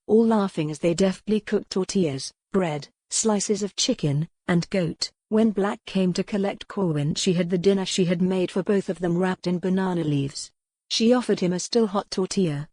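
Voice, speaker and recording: A woman's voice: lowish voice, a little mellow, no echo, studio quality. A woman reading a romance novel aloud as scripted audiobook narration. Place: dry recording space.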